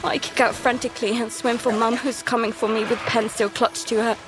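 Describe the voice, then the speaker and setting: A woman playing a terrified young daughter, narrating an audio drama in the first person in halting, emotional fragments. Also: fairly high pitch, flat delivery, lightly acted, poor audio. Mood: panicked, tense. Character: terrified young daughter recounting a monster attack at sea.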